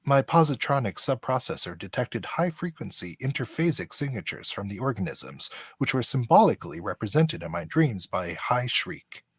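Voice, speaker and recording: A man playing a polite, earnest android officer in a fan radio play, speaking with formal, deliberate diction. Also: mid-range voice, sing-song, breathy edge, dry recording, studio quality. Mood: earnest, explaining.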